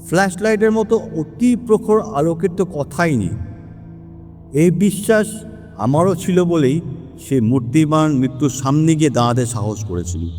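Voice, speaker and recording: A man playing a learned detective, deliberate and theatrical as he expounds in an audio drama. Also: a little high, dark tone, dry recording. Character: learned male detective solemnly expounding an occult mystery. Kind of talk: Bengali audio story dramatic acting.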